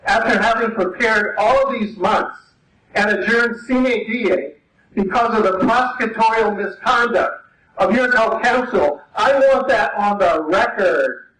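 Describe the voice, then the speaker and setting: A man's voice: high voice, a little slow, a bit bright. A man at a tribunal hearing, objecting emphatically for the record. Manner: indignant and emphatic. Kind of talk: formal courtroom objection. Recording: echoey courtroom.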